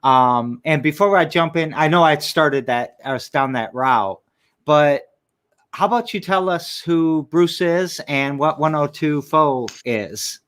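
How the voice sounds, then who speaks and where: A man's voice: on the high side, measured pace, a bit bright. A man hosting a casual interview, asking friendly, encouraging questions. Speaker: interview host.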